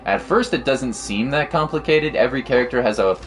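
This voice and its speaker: A man's voice: medium pitch, lively intonation, brisk. A man giving casual live commentary on a video game as a streamer, talking in an informal, rambling way. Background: game music.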